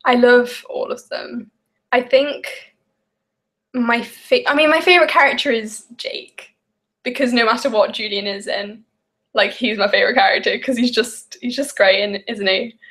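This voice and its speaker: A woman's voice: fairly high pitch, steady tone, normal speed, fairly bright tone. A young woman from the English Midlands with a Midlands accent, chatting casually and rambling on a live stream while baking, with lots of fillers and giggles. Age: young adult. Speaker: vlogger.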